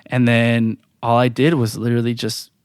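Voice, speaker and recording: A man's voice: medium pitch, normal speed, clean tone, dry recording, clean studio sound. A man whose first language is Spanish, telling stories in a casual podcast chat, full of fillers and restarts. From Mexico. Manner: animated storytelling with many fillers and restarts. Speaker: podcast guest, music producer.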